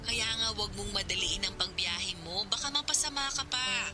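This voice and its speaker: An actress playing a caring older sister who gently reassures her sibling on the phone. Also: medium pitch, normal speed, close to an everyday voice. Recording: loud music under the voice.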